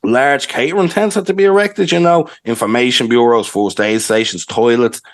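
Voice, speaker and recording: A man's voice: mid-range voice, normal speed. A young man with a Dublin accent, chatting casually as a podcast co-host and rambling with lots of fillers. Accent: Irish, Dublin. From Ireland. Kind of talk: casual history chat.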